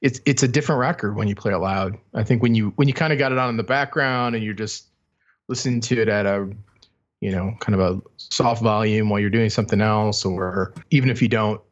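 A man's voice: fairly low pitch, fairly quick, muffled recording. A man co-hosting a podcast, giving a reflective review of music in casual chat as he feels his way through his thoughts. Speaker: podcast co-host.

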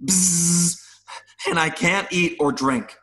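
A man playing an adult male mayfly in an audio play, nervous and earnest, pleading haltingly with little buzzing sounds. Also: high-pitched, bright, forceful tone, exaggerated voice, rough recording. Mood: patient, explaining. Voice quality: buzzing interjections between phrases.

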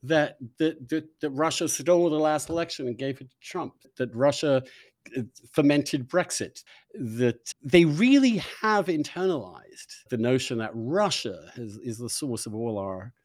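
Male host, lively intonation, emphatic opinionated conversation with halting repetitions. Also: mid-range voice, no echo. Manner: emphatic, halting, repeating words for stress. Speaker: interview host.

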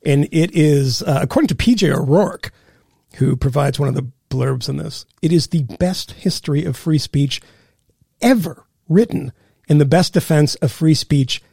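A man's voice: a little high, moderate pace, vocal fry, mellow, breathy edge, no echo, studio quality. A man hosting a podcast, joking, teasing and rambling through a casual chat. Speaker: podcast host.

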